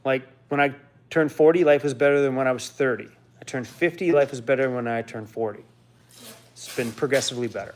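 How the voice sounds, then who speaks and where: A man in his fifties casually hosting a live stream and reading out viewer comments. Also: brisk. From Canada. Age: 50s. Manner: rambling, talks to his dog in a high coaxing voice.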